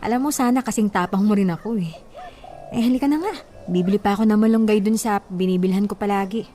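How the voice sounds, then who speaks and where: A woman's voice: medium pitch, fast talker, slight background noise, natural voice. A woman playing a meek, long-suffering wife in a radio drama, plaintive and placating. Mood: evasive. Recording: loud music bed behind.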